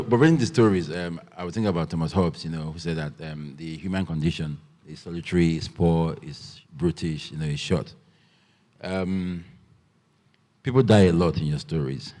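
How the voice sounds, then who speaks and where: Man, panel moderator, very low voice, relaxed, joking, reverberant hall. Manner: relaxed and joking, many ums. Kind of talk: literary festival panel conversation. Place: festival event hall.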